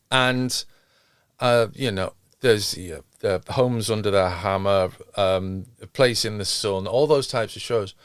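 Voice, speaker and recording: A man's voice: lowish voice, fairly bright tone, no echo. Middle-aged British man, close dry sound. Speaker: podcast co-host. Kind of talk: casual chat about television.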